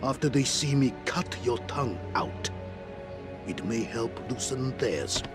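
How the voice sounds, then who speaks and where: An actor playing a menacing, cruel villain boss in a video game cutscene. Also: male voice, mid-range voice, lively intonation, a little slow, music in the background, close to an everyday voice. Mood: cruel, threatening. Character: menacing villain boss, cruel, gloating, desperate when cornered.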